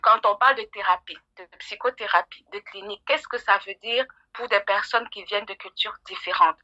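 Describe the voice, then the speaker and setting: Middle-aged woman, bright crisp-edged tone, interview guest, explanatory, dry slightly lo-fi sound. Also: mid-range voice, a little fast, dry recording. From Cameroon. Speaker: psychologist guest.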